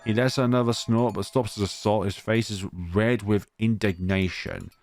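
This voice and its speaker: A man's voice: lowish voice, steady tone, normal speed, darkish tone. A man hosting a gaming video, reading a visual novel aloud and adding his own asides. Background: music.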